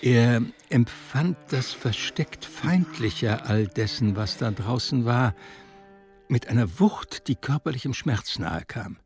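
An actor as a sombre, measured literary narrator in a German radio drama. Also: male voice, mid-range voice, animated, darkish tone, soft background music.

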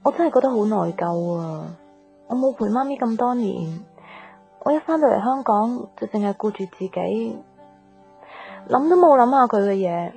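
A woman's voice: fairly high pitch, lively intonation, moderate pace, vocal fry, a little mellow. Earnest young Hong Kong woman over music. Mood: flustered, guilty. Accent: Hong Kong Cantonese. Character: earnest young woman torn between love and her mother. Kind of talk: Cantonese radio drama acting. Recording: music bed behind the voice.

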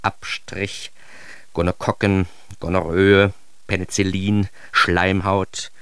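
A man's voice: deep voice, level tone, slow, a bit bright, clean tone, no room sound. An actor narrating a radio drama as a naive, bookish young man with a wry, self-mocking and precise manner. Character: naive, bookish young man recounting his life with comic earnestness. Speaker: first-person narrator.

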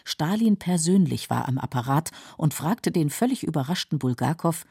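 A woman reading scripted biographical narration in a measured, matter-of-fact way, as a documentary narrator. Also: low-pitched, lively intonation, dark tone, husky, dry recording, studio quality. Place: dry studio.

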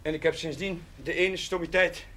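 Earnest, higher-voiced chaplain. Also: male voice, steady tone, fairly bright tone. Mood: earnest, pleading. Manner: pleading, measured, sincere. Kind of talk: 1970s Dutch television drama acting. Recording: faint noise on old TV audio.

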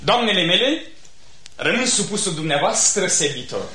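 An actor playing a courtly, eager gentleman in love in a theatrical radio comedy, with flowery, gallant politeness. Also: high-pitched, very animated, heavy background noise. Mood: gallant, apologetic.

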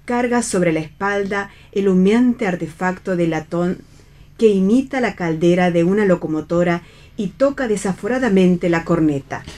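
Female narrator for read radio theatre, slightly low pitch, delivers scripted stage directions. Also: normal speed, dark tone.

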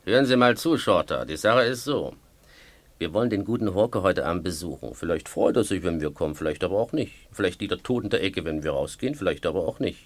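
A man's voice: low-pitched, lively intonation, fast talker, no room sound. An actor playing a smug, scheming male gang boss in a radio play, explaining things with sly mock politeness. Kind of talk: German radio play acting.

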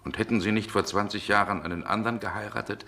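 An actor playing a brooding, world-weary middle-aged gentleman in a 1960s German radio drama, with genteel, measured diction. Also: on the low side, steady tone. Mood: tender, confiding.

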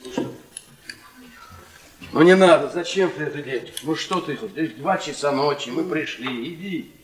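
An actor as a tired, henpecked middle-aged husband in a radio play. He has a fairly high male voice and protests plaintively, repeating himself with irritation. The sound is roomy and echoing, slightly away from the microphone. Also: moderate pace, far from the mic, close to an everyday voice. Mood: tired, pleading. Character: weary, henpecked, irritable 46-year-old husband. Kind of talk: theatrical radio play acting. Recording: roomy, echoey stage, off-mic.

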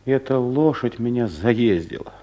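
Hapless male clerk for radio comedy, acted by a man: awkward, mock-dignified, cheeky, very animated medium voice. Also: medium pitch, measured pace, natural voice. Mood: stubborn, sulky. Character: timid, awkward male office clerk turned stubbornly cheeky. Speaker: actor in a radio play. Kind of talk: comedic audio drama dialogue.

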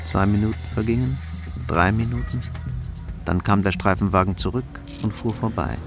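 A man's voice: fairly low pitch, normal speed. A man narrating a scripted crime story as the narrator of a radio play. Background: loud music.

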